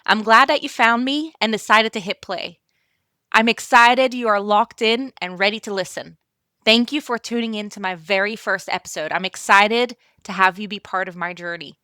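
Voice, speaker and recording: A middle-aged woman from Belgium hosting her podcast, speaking gently and encouragingly straight to the listener in a calm scripted monologue. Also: mid-range voice, no echo, studio quality. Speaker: podcast host and operations consultant.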